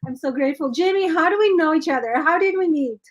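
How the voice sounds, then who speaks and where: A woman's voice: sits high, expressive, fast, dull audio. A woman hosting a live-streamed interview show, effusive and greeting viewers by name. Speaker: host.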